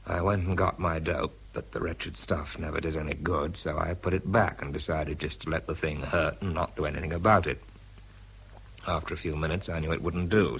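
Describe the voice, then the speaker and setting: An actor playing a weary, wry wartime weapons researcher with a British accent, narrating and talking in a dry, understated way. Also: male voice, very deep voice, fairly bright tone, slightly rough, exaggerated voice. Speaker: lead actor and first-person narrator. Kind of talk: radio drama narration and dialogue.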